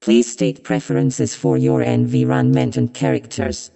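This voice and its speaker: A man playing an impassive, clipped computer in an audio drama, making formal automated announcements in a gravelly voice. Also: medium pitch, flat delivery, measured pace, warm tone. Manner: clipped, emotionless. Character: impassive computer voice of a virtual-reality suite. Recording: long, hollow reverberation.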